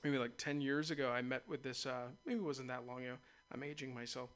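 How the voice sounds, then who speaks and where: A man's voice: mid-range voice, a little fast, a bit bright. A man hosting a radio interview show, chatting loosely in a rambling way and laughing easily. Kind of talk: casual interview chat.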